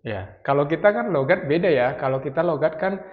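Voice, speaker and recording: A man's voice: fairly high pitch, lively intonation, a little fast, narrow, tinny audio. A man from North Sumatra with a Batak-tinged accent, chatting casually as a travel vlogger and mixing in English phrases. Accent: Batak-inflected Indonesian. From North Sumatra, Indonesia.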